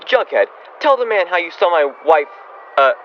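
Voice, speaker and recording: A man plays a hapless, flustered husband in an old-time radio comedy. He stammers and sputters with a very animated pitch, in a dull recording with faint noise behind. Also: normal speed. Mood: flustered, confused.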